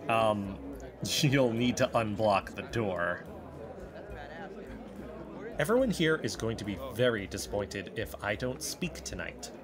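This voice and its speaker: A man playing a pompous, bumbling amateur sleuth in a fiction podcast, deliberate and easily flustered. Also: on the low side, lively intonation. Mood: flustered, pleading. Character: bumbling, self-important amateur sleuth narrating his covert mission. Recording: loud crowd chatter around him.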